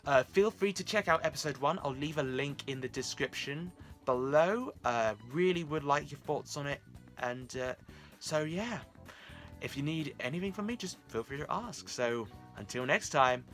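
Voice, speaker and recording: A man's voice: a little high, animated, normal speed, a bit bright, faint music behind. A man talking casually to his viewers as the host of a vlog.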